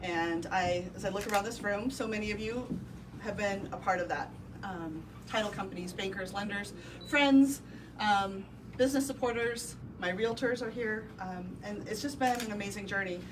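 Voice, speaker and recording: A woman with a Midwestern accent tells an assembled crowd a personal story, pausing often with ums. She is heard from a distance across a noisy indoor room, at a middle pitch. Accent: Midwestern American. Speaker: real estate brokerage owner. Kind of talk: ribbon-cutting speech. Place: indoor office event space with a crowd.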